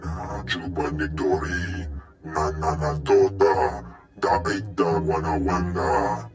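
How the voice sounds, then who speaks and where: Slow, deep, menacing alien. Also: male voice, soft background music, exaggerated voice. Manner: deliberate, drawn-out phrases. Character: slow, menacing alien speaking an invented tongue. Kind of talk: video game cutscene acting.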